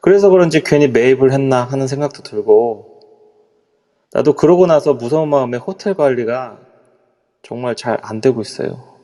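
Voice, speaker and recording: A man's voice: medium pitch, animated, moderate pace, dark tone, big-room sound, lightly acted. A man voicing a nervous, polite middle-aged hotel executive who hesitates and trails off as he explains. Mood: uneasy. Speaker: voice actor in a live amateur audio drama.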